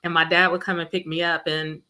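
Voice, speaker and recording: Bright-voiced woman from Mississippi, an education administrator, as an earnest interview guest speaking over a video call. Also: fairly low pitch, little pitch movement, brisk. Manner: warm, earnest storytelling with fillers. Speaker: school district chief academic officer.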